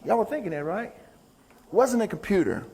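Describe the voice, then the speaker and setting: A man's voice: high voice, expressive, normal speed, warm tone, breathy edge. A man giving an upbeat, folksy live stage talk as a motivational speaker and musician, bantering with his audience. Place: large indoor stage venue. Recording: reverberant hall, stage talk.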